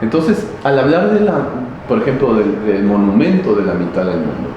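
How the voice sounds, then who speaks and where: A man explaining local history in an interview, pausing often with fillers and restarts. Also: fairly low pitch, big pitch swings, highs cut off. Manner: halting, many fillers and restarts. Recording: very echoey, noisy, reverberant space.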